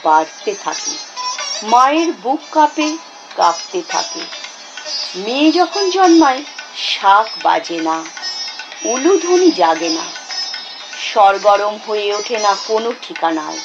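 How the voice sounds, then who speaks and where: A woman reciting a poem dramatically, in a measured, expressive way with deliberate pauses. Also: medium pitch, muffled recording. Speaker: poetry reciter. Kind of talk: dramatic Bengali poetry recitation. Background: instrumental music.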